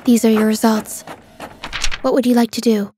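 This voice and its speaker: A woman's voice: fairly high pitch, little pitch movement, normal speed, background noise, lightly acted. A woman playing a polite, detached clerk in a manga dub, speaking in a formal, businesslike way. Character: polite, detached female clerk at an appraisal office. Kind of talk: scripted manga dub acting. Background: background music.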